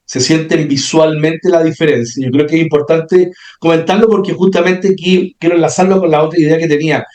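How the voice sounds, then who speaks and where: A man's voice: a little high, a little mellow. Male interview host, engaged and encouraging, recorded in a reverberant room. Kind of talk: interview conversation.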